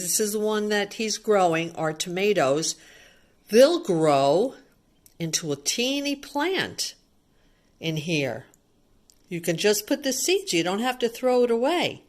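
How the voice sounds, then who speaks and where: A woman's voice: on the low side, big pitch swings, takes it slowly. A woman reading a picture book aloud to young children, adding chatty commentary and simple explanations and often putting questions to the child.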